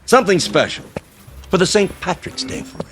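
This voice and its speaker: A man's voice: high voice, big pitch swings, fairly quick, a bit bright, faint music behind. An actor playing a pompous, folksy and shrewd veteran politician, an older man, in an old-time radio drama. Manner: pompous, wheedling, theatrical.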